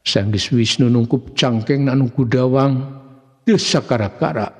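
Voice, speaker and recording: Husky Sundanese storyteller man in heavy reverb. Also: medium pitch, unhurried. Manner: theatrical, expressive delivery. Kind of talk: dramatic scripted narration of a folk mystery tale. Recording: long hall-like reverb.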